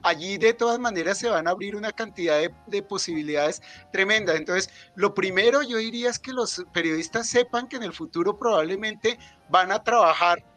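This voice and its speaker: Male speaker, Colombian accent, notably high pitch, emphatic guest explaining his views, pausing to think, light music underneath. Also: a bit bright. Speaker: writer and psychologist, guest speaker. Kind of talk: opinionated talk at a book presentation.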